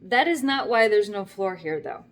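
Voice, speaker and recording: A woman's voice: medium pitch, lively intonation, moderate pace. Older woman rambling to her camera about her kitchen, wry and chatty, lots of asides. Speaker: vlogger. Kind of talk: casual talking-to-camera story. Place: home kitchen, indoors.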